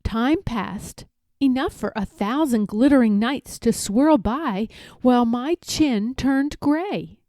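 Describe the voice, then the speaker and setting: A woman reading a children's storybook aloud with expressive narration. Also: fairly high pitch, takes it slowly, no room sound.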